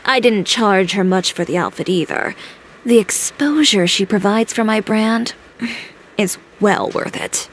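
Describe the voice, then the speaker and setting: A woman's voice: mid-range voice, unhurried, a little hiss or hum, lightly acted, poor audio. A woman playing a self-assured young fashion designer in a video game, matter-of-fact and composed.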